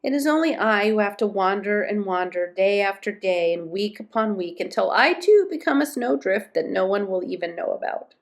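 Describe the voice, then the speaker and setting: A woman's voice: medium pitch, animated, normal speed, hardly any pauses, natural voice. A woman voicing a lonely young male troll in a storybook, musing aloud in a plaintive way. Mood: lonely, wistful.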